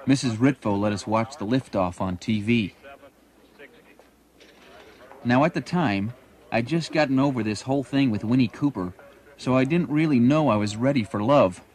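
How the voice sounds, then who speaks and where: A man's voice: mid-range voice, moderate pace, darkish tone, natural voice. An American actor playing a grown man who narrates memories of his boyhood crush in a wry, nostalgic, dramatic way. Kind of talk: scripted first-person narration.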